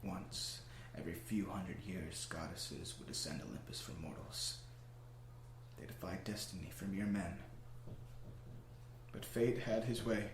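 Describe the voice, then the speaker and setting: A man's voice: low-pitched, measured pace, gravelly, pauses often, roomy sound, slight background noise, poor audio. A man playing an anguished, lovesick court clown in a radio play, with a theatrical, poetic, brooding delivery. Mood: mournful.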